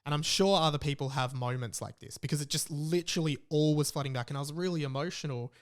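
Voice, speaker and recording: A man's voice: on the high side, fast. A young man with an Australian accent hosts a podcast solo, talking in a casual, candid and rambling way. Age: young adult. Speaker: podcast host.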